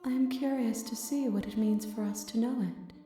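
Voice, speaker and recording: A woman's voice: fairly high pitch, fairly even intonation, close to an everyday voice. Echoing, serene otherworldly woman, played by an actress. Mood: solemn, serene. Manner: measured, philosophical, detached. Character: calm otherworldly female entity speaking in solemn riddles. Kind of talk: visual novel voice acting. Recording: echoing game voice over music.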